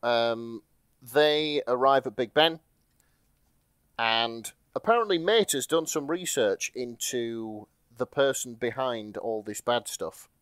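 A man's voice: medium pitch, lively intonation, slow pace, a bit bright, clean tone, dry recording, studio quality. A man podcast host with a wry, explanatory manner, chatting casually and reading aloud for laughs. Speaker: podcast host reading and recapping fan fiction. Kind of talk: casual comic chat with read-aloud.

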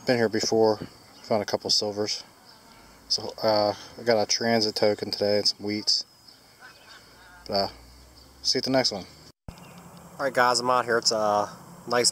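A man's voice: lowish voice, fairly bright tone, slight background noise. A man with a cold narrating his metal detecting finds outdoors, speaking casually to viewers while he digs. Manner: plain, upbeat, talks to viewers while working. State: stuffed up from a cold. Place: outdoors in woods and a yard.

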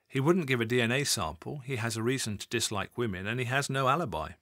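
A man's voice: medium pitch, quick pace, a bit bright, dry recording, clean studio sound, close to an everyday voice. A man voicing a weary male detective who answers in a restrained, deferential way. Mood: guarded.

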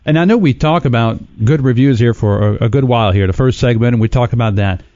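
A man's voice: medium pitch, fast talker, warm tone, dry recording, highs cut off. A middle-aged man co-hosting a radio show, interviewing guests conversationally on a studio mic. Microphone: studio mic on boom arm.

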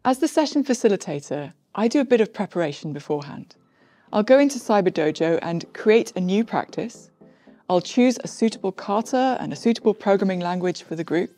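A middle-aged woman coach explains a group coding practice to camera in a clear, friendly way. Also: medium pitch, mellow. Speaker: software coach. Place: studio with plain backdrop.

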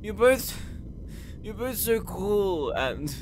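High-pitched, flustered young man acted by a man. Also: sing-song, slow pace, slight background noise, exaggerated voice. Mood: emotional. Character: insecure, earnest, easily flustered young man, drunken lightweight. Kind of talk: dramatic audio roleplay acting.

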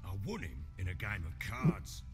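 Casting: gruff, jovial, world-weary baron for fantasy game; low, very varied delivery, music underneath. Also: male voice, very animated, moderate pace. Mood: wry storytelling. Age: middle-aged. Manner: earthy, storytelling. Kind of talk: video game dramatic dialogue.

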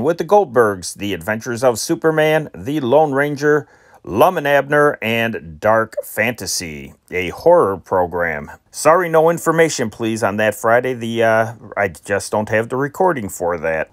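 A man speaks as the host of an old-time radio channel, in a middle-pitched voice. He announces in a casual, conversational way with some hesitation. The sound is dry and close. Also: dry recording. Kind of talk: casual scripted announcement to listeners.

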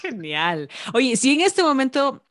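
A woman's voice: high-pitched, sing-song, a little slow, a bit bright, no room sound. A woman radio host with a studio microphone, interviewing a guest in an upbeat, enthusiastic way. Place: radio studio with branded backdrop. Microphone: studio microphone on stand.

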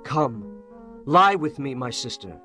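An actor playing a lovesick, demanding young prince in a dramatized audio Bible, speaking in imperious, archaic phrases. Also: male voice, medium pitch, sing-song, slow pace, bright, forceful tone, soft background music, full character voice. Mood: yearning. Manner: imperious, archaic formal speech.

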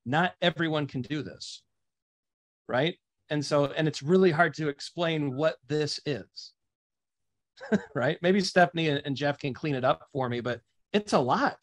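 A man's voice: on the high side, unhurried, dry recording. A man speaking on a video call as a panelist, making his points emphatically with strong, vivid phrasing. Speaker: panelist, agile coach. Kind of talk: casual panel discussion.

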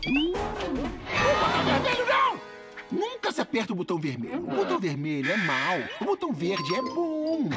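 A man's voice: very high voice, huge pitch swings, moderate pace, heavily acted. A Brazilian man voicing a talking pet dog in a cartoon dub, an anxious, reluctant sidekick who frets and protests. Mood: worried. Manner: fretful, protesting. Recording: loud music behind the voice.

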